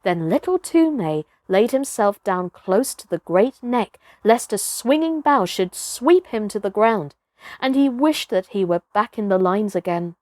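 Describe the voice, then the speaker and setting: A woman reading a children's story expressively, with dramatic delivery that moves between hushed and emphatic. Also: mid-range voice, animated, measured pace, dry recording, clean studio sound. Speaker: storybook reader, performer.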